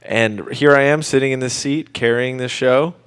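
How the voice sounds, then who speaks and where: A man's voice: mid-range voice, moderate pace, a bit bright, clean tone. Young man, mid-range voice, student radio host, casual chat, jokey, teasing, studio mic.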